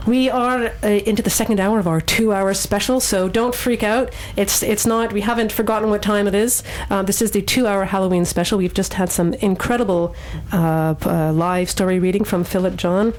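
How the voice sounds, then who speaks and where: A woman's voice: mid-range voice, steady tone, normal speed, few pauses, a little mellow. A woman hosting a radio show, chatting live and introducing segments. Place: radio studio.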